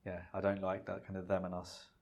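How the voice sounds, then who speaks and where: Very deep-voiced reflective man. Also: completely flat delivery, brisk, vocal fry, a little mellow. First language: Punjabi. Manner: reflective, rambling, with frequent fillers and restarts. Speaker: guest. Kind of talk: relaxed conversational interview.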